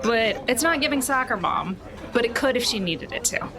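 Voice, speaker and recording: A woman's voice: on the high side, animated, moderate pace, a crowd in the background. A woman playing a tabletop role-playing game, speaking in an informal, conversational way. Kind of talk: casual role-play chat.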